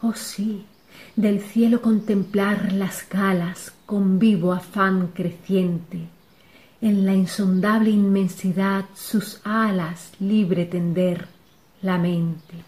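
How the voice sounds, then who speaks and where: A woman reciting a devotional poem in a slow, solemn way, sometimes dropping to a whisper. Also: medium pitch, fairly even intonation, a little mellow. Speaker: poetry reciter.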